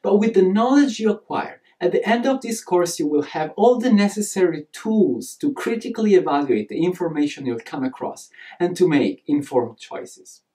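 Man with italian accent, thirties, earnest lecturer, mellow tone, emphatic, gesturing as he speaks. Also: sits high, normal speed. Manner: earnest, animated hand gestures, emphatic delivery. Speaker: nutrition course instructor. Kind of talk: scripted lecture to camera.

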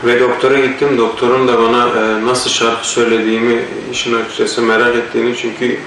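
A man's voice: mid-range voice, level tone, brisk, a bit bright, a little hiss or hum. A man answering interview questions hesitantly, with many filler sounds and a blocked nose. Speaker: singer and actor interviewed. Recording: echoey room, mic far off.

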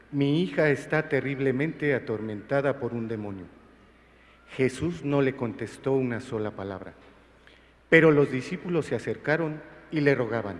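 A man reads the Gospel at Mass in a mid-pitched voice. He is solemn and declamatory, setting out short measured phrases, with long reverb trailing after them. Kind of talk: solemn liturgical scripture reading. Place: large church interior.

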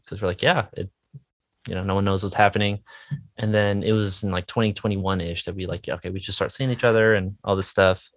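Low-voiced man chatting, hesitant and self-deprecating. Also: a bit bright, no echo. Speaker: musician guest, indie rock songwriter. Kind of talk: casual interview chat.

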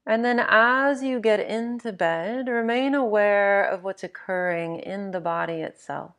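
A woman narrating a guided sleep meditation in a gentle, measured, instructional manner. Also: medium pitch.

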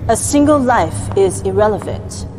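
A woman's voice: mid-range voice, animated, moderate pace, background noise, close to an everyday voice. A young woman playing a cold, imperious adviser to a ruthless house, speaking in a clipped, formal, commanding way. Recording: music bed behind the voice.

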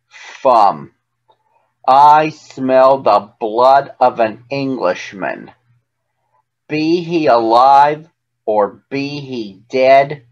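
A man voicing a huge, bad-tempered, man-eating storybook giant, bellowing and commanding with slow, heavy delivery. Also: medium pitch, muffled recording.

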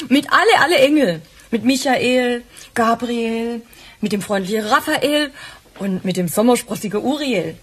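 An actress playing a gentle, patient mother and wife in a radio crime drama, speaking tenderly and coaxingly. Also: a little high, a little slow, breathy edge. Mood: tender, soothing.

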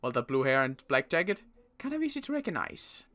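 Bright-voiced man, wary survivor, in roleplay, wry, animated. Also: mid-range voice, brisk. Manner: wry, wary, mumbles and restarts. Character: wary adult male survivor. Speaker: roleplay player. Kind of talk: improvised roleplay.

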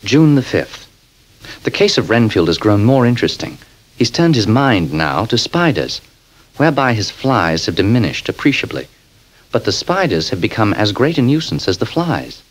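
An actor playing a calm young male asylum doctor, patient and firm in a 1970s radio drama. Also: mid-range voice, normal speed, poor audio. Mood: diary narration. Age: 20s.

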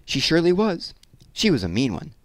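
Guy doing a chatty hairdresser lady, higher voice, folksy n kindly, bit wistful, storybook, swoops around. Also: huge pitch swings, brisk, dry recording. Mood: reminiscent.

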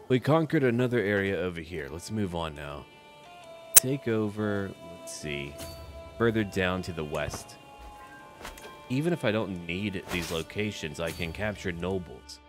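A man giving let's play commentary on a strategy game, talking to himself and addressing his characters as their ruler. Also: low-pitched. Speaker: video game player and narrator.

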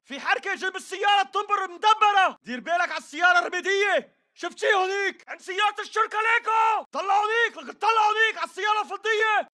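An actor playing an urgent militant fighter in a shooter game, calling out enemy positions in short bursts of Levantine Arabic. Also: male voice, extremely high pitch, full character voice. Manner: urgent shouted short callouts. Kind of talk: military shooter battle chatter.

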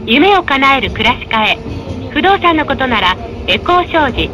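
High, lilting woman reading on-board adverts. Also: very animated, moderate pace, music in the background. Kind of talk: scripted advertising announcements. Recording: noisy in-vehicle recording with tram rumble. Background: tram running noise.

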